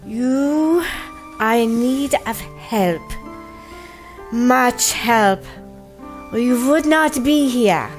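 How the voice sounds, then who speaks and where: An ominous fortune-teller in a vintage radio mystery. Her high voice moves with extreme slowness, every phrase solemn and portentous. She commands like an oracle, with faint music underneath. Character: imperious, ominous mystic fortune-teller with crystal ball. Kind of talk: old-time radio drama acting.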